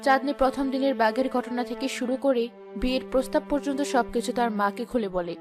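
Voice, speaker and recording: A woman's voice: high-pitched, fast talker. Female storyteller for scripted fairy-tale narration, with music behind her.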